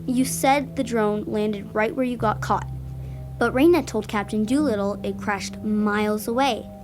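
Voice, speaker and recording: A woman's voice: medium pitch, a little slow, over music, lightly acted. A woman playing a precocious, bratty little sister in an audio drama, speaking in a haughty, dramatic know-it-all way.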